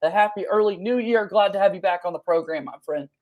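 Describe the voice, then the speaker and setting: A male sports podcast host with a high-pitched voice. He speaks in a polished announcer manner, moving between upbeat introductions and interview questions. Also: fast.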